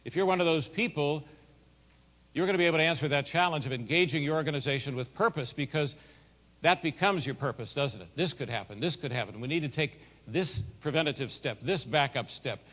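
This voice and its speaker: A man's voice: fairly high pitch, brisk. Big-voiced man telling stories in a hall. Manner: folksy, animated storytelling with a big voice. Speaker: keynote speaker. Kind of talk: storytelling keynote speech. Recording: long hall reverb.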